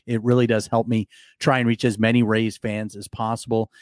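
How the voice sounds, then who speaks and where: A man with a hoarse, worn voice hosts a live sports podcast late at night. He talks loosely, circling back and restarting his sentences. The sound is dry and close, with no room around it. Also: fairly low pitch, flat delivery, fairly quick, darkish tone, dry recording. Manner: rambling, many restarts and fillers. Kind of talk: casual live podcast talk.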